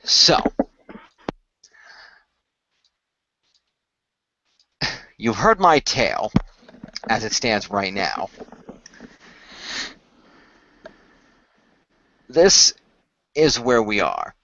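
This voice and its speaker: A man playing an earnest male leader who recruits heroes in a radio play, explaining things in a halting, deliberate way. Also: fairly low pitch, wildly swooping pitch, creaky, sharp tone, muffled recording, voice pushed down, exaggerated voice. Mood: earnest, persuasive. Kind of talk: improvised radio play dialogue.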